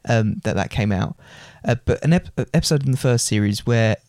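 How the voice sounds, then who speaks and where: A man's voice: mid-range voice, a little fast, a little mellow, dry recording, studio quality. A young man co-hosting a podcast, earnestly explaining his views in casual chat. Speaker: podcast co-host.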